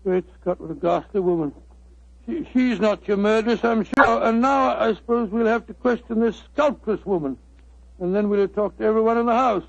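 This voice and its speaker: A blustering British doctor, the detective's loyal companion, high-voiced and creaky. He stammers and bumbles excitably in old-time radio style. The sound is dull and lo-fi. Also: moderate pace. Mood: indignant, musing. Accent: British English. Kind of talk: 1940s radio drama acting. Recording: dull, dry 1940s radio recording.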